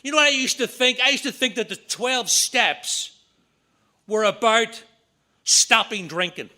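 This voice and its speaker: Northern irish guy, middle-aged, high bright voice, earnest talk at a meeting, lots of you-know asides. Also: lively intonation. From Northern Ireland. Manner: earnest, repetitive, quick asides. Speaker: AA speaker. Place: meeting room.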